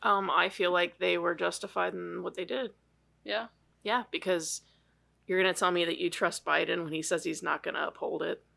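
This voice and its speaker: A woman's voice: on the low side, fairly even intonation, moderate pace, gravelly, bright, forceful tone. A woman co-hosting a podcast in casual chat, blunt and swearing freely, laughing as she rants. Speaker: podcast co-host.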